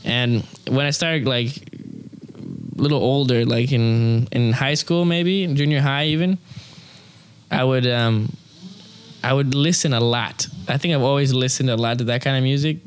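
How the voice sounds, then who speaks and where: A man's voice: mid-range voice, measured pace, smooth. A young man who sings opera, talking casually in an interview, rambling with many fillers. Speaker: opera singer, interview guest.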